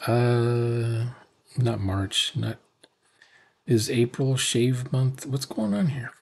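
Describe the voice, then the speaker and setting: Older guy in his 60s doing casual reaction talk, raspy voice, kinda sarcastic and grumbly. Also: medium pitch, very slow. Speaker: reaction video host. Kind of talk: casual, unscripted commentary. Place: small cluttered room at home. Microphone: desk mic, unfiltered.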